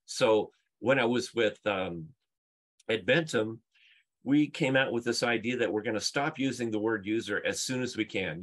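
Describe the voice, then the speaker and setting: A middle-aged man presenting on a video call, explaining emphatically through stories and rhetorical questions. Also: fairly low pitch. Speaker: conference speaker, UX leader. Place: book-lined room at home, indoors.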